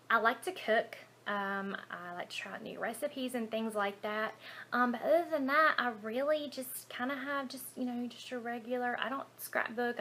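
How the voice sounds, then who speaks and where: Female beauty vlogger, Southern US accent, bright and high-ish voice, casual rambling Q&A delivery. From Alabama, United States. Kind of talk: casual chatty Q&A to camera.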